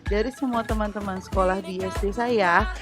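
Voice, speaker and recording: Teacher in her thirties, formal read-through, loud music under the voice. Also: medium pitch, fairly even intonation. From Indonesia. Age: 30s. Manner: measured, formal reading. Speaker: teacher-training candidate. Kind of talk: reading a prepared assignment presentation.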